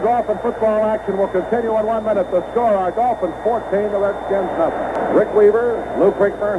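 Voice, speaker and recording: A man's voice: sits high, phone-quality sound, background noise. An American man calling live football play-by-play on an old radio broadcast. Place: stadium broadcast booth.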